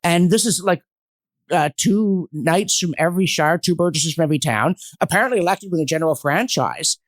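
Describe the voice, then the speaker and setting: A man's voice: on the high side, brisk, no room sound. A man telling history in a podcast discussion, earnest and emphatic, digressing and acting out quotes as he goes. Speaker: guest commentator on history. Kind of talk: animated storytelling in a podcast discussion.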